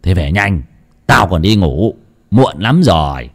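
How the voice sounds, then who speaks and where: Man acting gruff, low-voiced village uncle. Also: very animated, slow, exaggerated voice. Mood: brusque teasing. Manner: scolding, earthy, sly. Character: gruff, teasing middle-aged village clan-head uncle.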